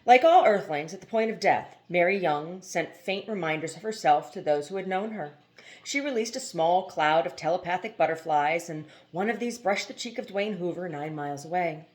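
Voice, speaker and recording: A woman's voice: fairly low pitch, expressive, normal speed. A woman reading a novel aloud as scripted narration, now and then stumbling over a word. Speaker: amateur audiobook reader.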